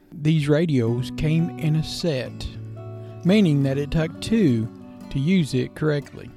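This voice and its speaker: A man's voice: fairly high pitch, creaky, mellow. A man in his fifties giving a devotional talk as a podcast host, in a folksy, plain-spoken way with emphatic short phrases. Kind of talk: devotional talk with personal childhood stories. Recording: guitar music behind the voice.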